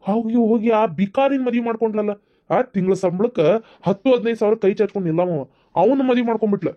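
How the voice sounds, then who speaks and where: A man's voice: high voice, quick pace, close to an everyday voice. A man voicing a stern, proud grandfather in a cartoon family drama, scolding and dismissive, with a North Karnataka accent. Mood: stern, bitter. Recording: dull, muffled lo-fi sound.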